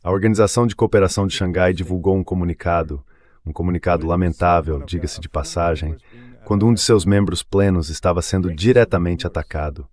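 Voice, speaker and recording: Low-voiced Brazilian man, political commentary. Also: fairly quick, darkish tone. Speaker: political analyst guest, dubbed voice. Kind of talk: dubbed interview commentary.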